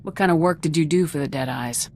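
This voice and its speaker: An actress playing a composed, confident young squad commander in a video game, speaking in a measured, formal, self-assured way. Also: female voice, low voice, a little mellow, a little hiss or hum. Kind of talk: video game dialogue acting. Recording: clear background music under the voice.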